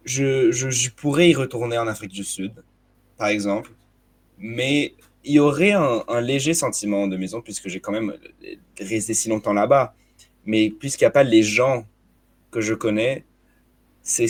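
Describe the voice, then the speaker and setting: Hesitant young man, slight foreign accent. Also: on the low side. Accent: slight hard-to-place foreign accent in French. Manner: hesitant, many restarts and repeated words. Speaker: guest. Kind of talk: casual discussion.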